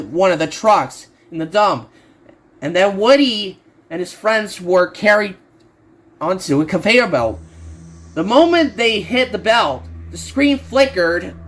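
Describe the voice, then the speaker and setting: A man reading a horror story aloud as an amateur narrator, halting and often stumbling over and repeating words. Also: high-pitched, animated, a bit bright.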